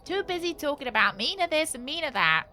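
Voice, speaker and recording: A woman's voice: high voice, very animated, a little fast, sharp tone, soft background music. A woman chatting casually as she streams a game, thinking aloud with wry, joking asides. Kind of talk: casual live gaming commentary.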